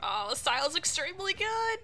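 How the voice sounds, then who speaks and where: A woman doing casual let's play commentary as a game streamer, amused and quick to laugh. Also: very high voice, big pitch swings, bright, forceful tone, faint music behind.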